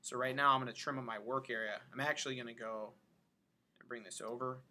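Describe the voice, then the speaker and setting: A man giving an informal software tutorial, thinking aloud as he demonstrates each step. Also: mid-range voice, steady tone, fast talker. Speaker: animation instructor. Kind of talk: casual screen-recorded tutorial narration.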